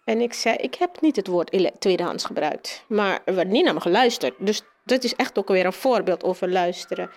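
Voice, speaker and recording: A woman with a mid-pitched voice talks as an interviewed teacher. She speaks off the cuff, often restarting and repeating words as she goes. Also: animated, a little fast, hardly any pauses. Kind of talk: spontaneous interview answers.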